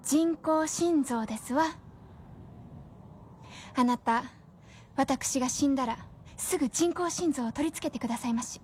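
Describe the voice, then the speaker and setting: An actress playing a gravely ill, devoted wife in a radio drama, serene yet eager, with genteel old-fashioned polite speech. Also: female voice, sits high, a little slow, pauses often, darkish tone, a little hiss or hum. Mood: serene, resolved.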